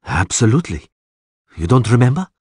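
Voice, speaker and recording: A man plays a sardonic elf captive in a fantasy audiobook. He speaks with precise, dry sarcasm in a husky voice, his pitch moving freely. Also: big pitch swings, brisk, a little mellow, no echo. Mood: quiet, dry. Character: sardonic male elf prisoner, sneering, coolly articulate.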